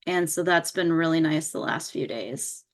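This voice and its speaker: A woman co-hosting a podcast, speaking in a calm, measured way. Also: sits low. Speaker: podcast co-host. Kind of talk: casual podcast chat.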